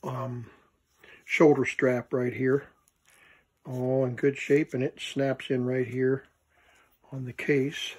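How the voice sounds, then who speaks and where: A man who collects vintage gear gives a casual, unscripted hands-on demonstration, thinking aloud in halting short fragments. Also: mid-range voice, little pitch movement, darkish tone, breathy edge. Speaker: vintage electronics collector presenting his item.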